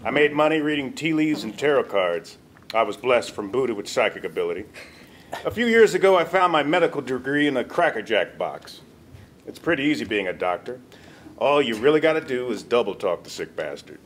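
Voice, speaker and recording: A man's voice: medium pitch, very animated, fairly quick, a little hiss or hum, lightly acted. A man playing a brazen, materialistic quack doctor in a comedy script table read.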